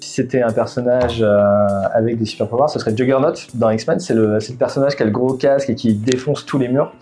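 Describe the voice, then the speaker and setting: A man's voice: mid-range voice, fairly even intonation, quick pace, over music. A middle-aged man from Bordeaux, a startup founder answering interview questions, hesitant, with many fillers and restarts. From Bordeaux, France.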